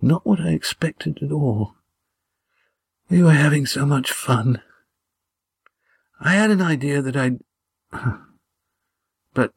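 A man voicing a gravely wounded man in an audiobook, breathy, labored and halting, gasping in pain, urgent but fond. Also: fairly high pitch, no echo, studio quality, voice pushed up, full character voice.

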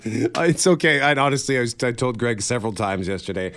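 A man's voice: mid-range voice, lively intonation, a little fast, crowd noise. A man co-hosting a morning radio show in casual chat, rambling and correcting himself, chuckling now and then. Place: radio studio. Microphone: studio microphone.

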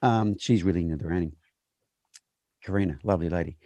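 A middle-aged man, a horse trainer, talking in a casual interview with halting restarts. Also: deep voice, warm tone, no room sound.

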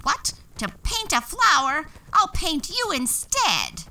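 A woman voicing an angry, flower-hating old witch in a storybook, snapping loudly. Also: extremely high pitch, sing-song, slow, voice pushed up, heavily acted. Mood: furious. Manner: loud, snapping growl.

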